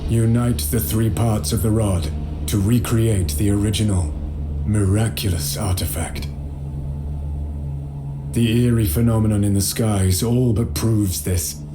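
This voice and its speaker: A man's voice: lowish voice, steady tone, measured pace, background noise, close to an everyday voice. A man playing an earnest, solemn medieval knight leader in a scripted video game. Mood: grave. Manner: grave, solemn, dramatic. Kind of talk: scripted game narration and cutscene acting. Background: dramatic music.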